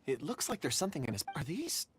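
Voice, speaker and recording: A man voicing a polite, earnest, gentle young man in a video game, speaking with courteous formality. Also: fairly high pitch, big pitch swings, fast talker, a little hiss or hum. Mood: observant.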